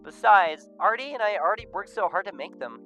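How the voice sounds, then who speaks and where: Man putting on a bright, high-pitched playful animal guy. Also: lively intonation, a little fast, faint music behind, exaggerated voice. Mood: playful, tipsy.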